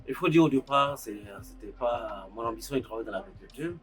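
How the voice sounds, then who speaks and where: A man's voice: medium pitch, animated, brisk, fairly bright tone, faint music behind. A man from Côte d'Ivoire, an accountant by training, giving a hesitant interview testimony. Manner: hesitant, frequent filler sounds. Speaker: farm co-founder, accountant by training.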